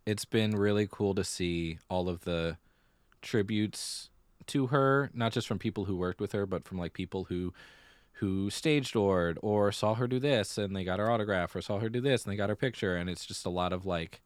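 A man with a low voice talks as a podcast co-host in a casual, conversational way. He tends to repeat phrases to make a point. The sound is dry, with very little room on it. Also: normal speed, clean tone, dry recording. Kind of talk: casual chat about musical theater and pop culture.

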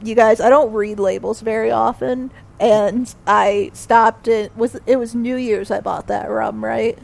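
A woman's voice: a little high, steady tone, measured pace, creaky, a little mellow. A woman guest-hosting a casual podcast, telling rambling stories and laughing often. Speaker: guest co-host.

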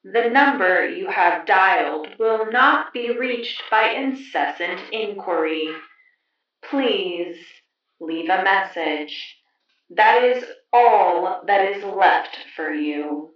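A woman playing an eerie, chiding automated voicemail operator in an audio drama, with deliberate, stilted delivery. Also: mid-range voice, animated. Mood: eerie, scolding. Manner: deliberate, stilted, cryptically scolding. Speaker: voicemail system voice. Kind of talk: slow automated phone announcement, audio drama. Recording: cavernous echo, distant, dull phone-line sound.

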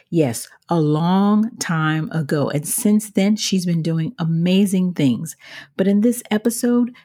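A woman hosting a podcast, introducing the show and interviewing, with a husky voice. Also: fairly low pitch, a little mellow. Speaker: podcast host.

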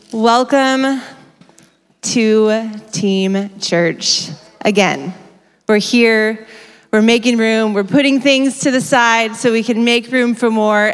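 A woman delivers a sermon at a church conference, her voice sitting somewhat high. She talks in an energetic, conversational way and often corrects herself mid-sentence. Her stage mic carries the long reverb of a large hall. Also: clear, resonant tone. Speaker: conference speaker.

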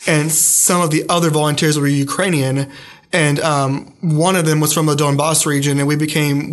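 Young man talking haltingly in a flat tone. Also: a little high. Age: young adult. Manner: hesitant, many fillers and restarts. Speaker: student guest. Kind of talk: casual interview.

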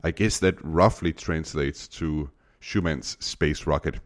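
Casting: deep-voiced Danish-native podcast host, hesitant, lots of uhs. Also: male voice, slow pace. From Denmark. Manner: hesitant, many uh fillers. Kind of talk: interview podcast.

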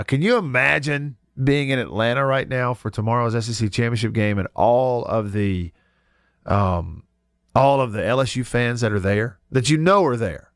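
A man's voice: medium pitch, gravelly, clear, resonant tone, no echo. A man hosting a radio sports talk show in a studio, congested from a cold, easygoing and chuckling, with frequent restarts. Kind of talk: casual radio chat.

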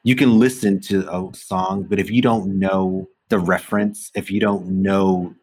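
A man hosting a podcast, chatting in a relaxed way and laughing often. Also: deep voice, a little slow. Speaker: podcast host. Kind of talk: casual interview chat.

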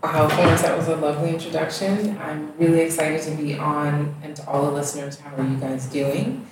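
Guest: woman from Ghana raised in the US, very low voice, reflective, distant echoey home recording with noise. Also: level tone, fairly quick. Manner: reflective, rambling with fillers. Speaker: guest, yoga studio founder. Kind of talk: casual interview talk. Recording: echoey room, distant mic, background noise.

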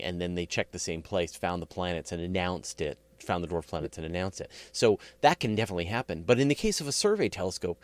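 Male interview host, lowish voice, eager, talkative, dry close sound. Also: no echo. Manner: eager, talkative, adds his own stories. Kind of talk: casual chat.

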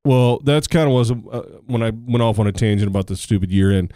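Man, middle-aged, American, podcast co-host, sarcastic, rambling, lowish dark voice, dry sound. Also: fast talker, dry recording. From United States. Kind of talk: casual chat.

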